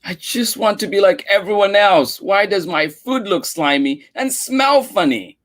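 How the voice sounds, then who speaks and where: A man voicing a shy little girl in a read-aloud storybook, speaking in a small, pleading, high voice. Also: a little slow, fairly bright tone. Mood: upset.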